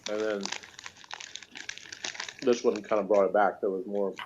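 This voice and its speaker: A man in a casual, unscripted review chat, talking in a halting, jokey way as a co-host. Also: fairly low pitch, steady tone, a little mellow. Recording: muffled lo-fi audio, noticeable noise.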